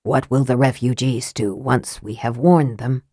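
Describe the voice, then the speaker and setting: A woman voicing a cold, sardonic young male mage who speaks dryly, flatly and curtly in a lowered voice. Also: a little slow, breathy edge, dry recording, heavily acted. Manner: dry, flat and clipped.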